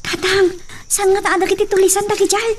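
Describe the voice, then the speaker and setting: A woman plays an inquisitive, chatty little Ilocano girl in a radio drama. The voice is extremely high and childlike. Light chirping noise sits in the background. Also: flat delivery, fast talker. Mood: curious. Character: curious little village girl, eager and chatty.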